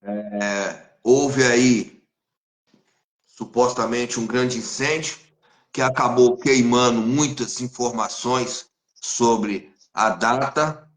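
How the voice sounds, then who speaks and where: Slow-spoken Brazilian capoeira master. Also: male voice, mid-range voice, fairly bright tone, echoey room. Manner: slow, deliberate, fatherly. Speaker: capoeira master, live-stream host. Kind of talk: live-stream interview.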